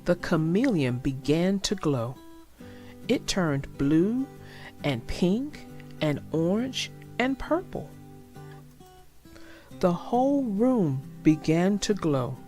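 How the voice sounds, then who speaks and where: A woman reading a children's story aloud in a deliberate, expressive storytelling manner, with music behind her. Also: on the low side. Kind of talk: read-aloud storybook narration.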